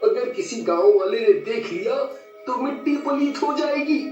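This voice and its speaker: Man playing timid boastful young villager, storybook comedy fool, high pitch, drawn-out hesitant delivery, distant echoey audio. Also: a little mellow, highs cut off, soft background music. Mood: bashful, boastful. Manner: whiny exclamations, hesitant stammering.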